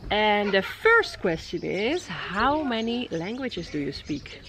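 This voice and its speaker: A Dutch woman in her thirties, speaking English with a Dutch accent, gently interviewing her daughter for a family vlog at a safari camp. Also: medium pitch, sing-song, takes it slowly, noisy background. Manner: gentle, encouraging questioner with soft fillers. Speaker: vlogger and mother. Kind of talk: casual interview with her child. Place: outdoors at a tented safari camp. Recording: outdoor birdsong in background. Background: birdsong.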